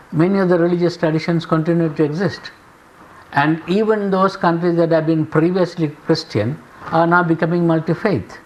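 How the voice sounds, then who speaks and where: An older man from Sri Lanka with a Sri Lankan accent, a professor speaking earnestly and in measured phrases with frequent pauses, lecturing and reflecting on his own life in a classroom. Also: fairly high pitch, a little mellow, a little hiss or hum, poor audio.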